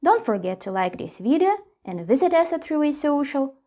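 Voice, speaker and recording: A woman's voice: high voice, very animated, moderate pace, mellow. A woman presenting a tutorial, reading scripted step-by-step instructions.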